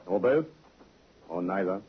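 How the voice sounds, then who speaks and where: Dry commanding inspector, husky, lively pitch, tinny old radio sound. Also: male voice, measured pace, heavily acted. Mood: measured. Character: calm, shrewd male police inspector. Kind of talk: 1950s radio drama acting. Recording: tinny narrow vintage radio recording.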